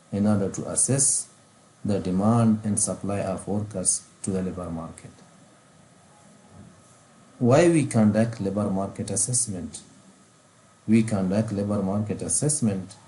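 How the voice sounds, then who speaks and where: Afghan accent guy reading a formal slide lecture, kinda low mellow voice, reads it out phrase by phrase. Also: poor audio. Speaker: vocational training specialist. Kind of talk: scripted lecture narration over slides. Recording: faint background noise.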